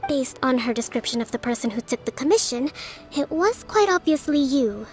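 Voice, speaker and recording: A woman's voice: high voice, expressive, a little fast, over loud music. A woman voicing a dutiful young female island leader and priestess in a video game, speaking formally and with composure. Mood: composed, earnest.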